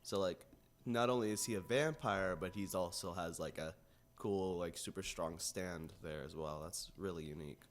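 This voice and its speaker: Young male co-host, quite deep voice, agreeable and informal, loose conversational phrasing. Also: moderate pace, slight background noise. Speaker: podcast co-host. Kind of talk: casual chat.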